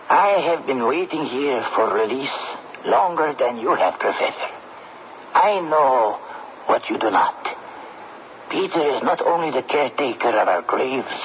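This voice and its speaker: Actor as a slow, courtly ghostly general. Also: male voice, mid-range voice, animated, natural voice. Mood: dignified. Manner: deliberate, formal, courtly. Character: courteous, measured dead army general waiting for release. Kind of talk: old-time radio drama acting. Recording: dull, noisy old radio broadcast.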